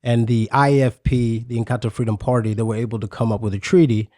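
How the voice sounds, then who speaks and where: A man from South Africa, a podcast guest, telling his life story in a relaxed, hesitant, reflective way. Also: mid-range voice, fast, no room sound, studio quality. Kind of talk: casual interview.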